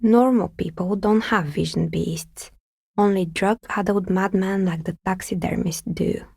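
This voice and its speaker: An actress playing a wry, pragmatic woman dicemaker in a video game, speaking in a measured, matter-of-fact way with a husky voice. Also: medium pitch, steady tone, a little mellow, no room sound, clean studio sound. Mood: dry, musing. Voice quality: husky, breathy with some creak. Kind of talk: video game character dialogue.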